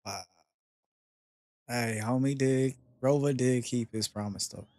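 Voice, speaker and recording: An excitable game streamer reacting casually in a slangy, informal way. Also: mid-range voice, slow.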